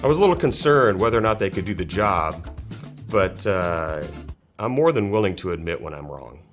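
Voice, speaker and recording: Man doing a skeptical exec, very deep voice, wry, music behind. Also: lively intonation, normal speed. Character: skeptical male company executive, middle-aged. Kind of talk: mock-serious ad testimonial. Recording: music bed under the voice.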